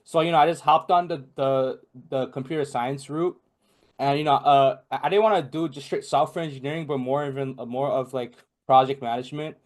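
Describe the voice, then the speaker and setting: A man's voice: medium pitch, fairly even intonation, fairly quick. A young man from Bangladesh, a podcast guest in casual chat, rambling with many fillers and restarts. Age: young adult.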